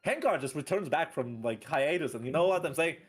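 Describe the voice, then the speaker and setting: A man doing play-by-play commentary on a fighting game, excitable and bursting loud at big plays. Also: a little high, fairly quick, breathy edge. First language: not French. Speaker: esports commentator.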